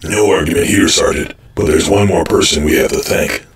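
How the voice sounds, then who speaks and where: An actor playing a grave, commanding masked vigilante in an old-time radio drama, with a gravelly voice. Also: male voice, sits low, a little fast, background noise, lightly acted. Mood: grave, quiet.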